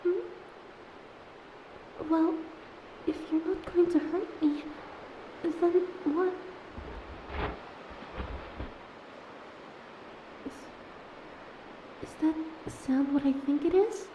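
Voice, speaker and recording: A woman playing a young captive mermaid, proud but wounded and wary, speaking haltingly and emotionally in a fantasy audio drama. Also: very high-pitched, mellow, lo-fi sound. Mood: wary, hopeful. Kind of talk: scripted fantasy audio roleplay. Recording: echoey tank-like reverb, faint ambience noise.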